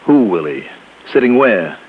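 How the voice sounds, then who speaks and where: An actor playing a wry, confident American insurance investigator, narrating and talking in clipped noir radio style. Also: male voice, lowish voice, sing-song, measured pace, a little hiss or hum. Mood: wry, businesslike. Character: wry, hard-boiled male insurance investigator narrating his case. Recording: muffled old radio recording with music.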